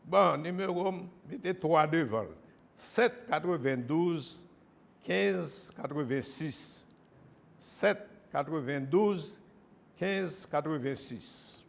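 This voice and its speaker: A man speaking as a guest on a political talk show. He makes each point with force and keeps checking that he is understood. His voice sits a little higher than most men's. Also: slow pace, creaky. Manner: emphatic, keeps asking "you understand?". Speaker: studio guest, former colonel.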